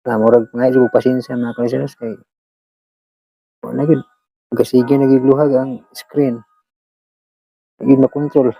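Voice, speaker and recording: A Filipino vlog host with a Visayan accent chatting casually to listeners, rambling in a halting, repetitive and apologetic way. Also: medium pitch, little pitch movement, creaky, no echo, dull audio. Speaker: vlog host who shares radio dramas.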